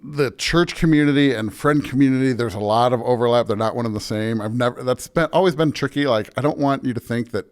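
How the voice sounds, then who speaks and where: A man's voice: medium pitch, fast talker, hardly any pauses. A man speaking as a pastor and podcast guest in a casual, reflective interview, often restarting his sentences in an informal, self-deprecating way.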